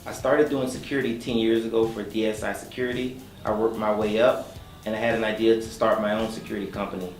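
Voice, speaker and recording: A man who owns a security company, speaking in a measured, businesslike way about his firm. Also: lowish voice, flat delivery, roomy sound, faint music behind. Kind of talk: reading a promo, then guest chat.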